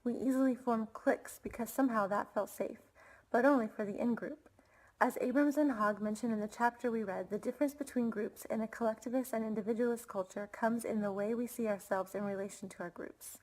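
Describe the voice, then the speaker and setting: A woman's voice: a little high, fairly even intonation, a little fast, a little mellow, low-quality recording. A woman with an American accent reading a scripted personal reflection as a student presenter. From United States.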